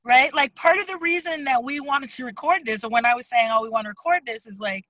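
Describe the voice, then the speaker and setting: A woman co-hosting an online group discussion, explaining in quick bursts and encouraging questions. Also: high-pitched, expressive, pushed and bright, dry recording. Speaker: co-host of a discussion salon. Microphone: online video call.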